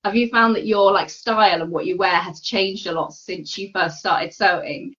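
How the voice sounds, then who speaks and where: A woman's voice: medium pitch, steady tone, moderate pace, fairly bright tone. A woman hosting a live online chat, talking in a friendly, chatty way with many fillers over a video call. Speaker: host. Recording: dull lo-fi video call audio.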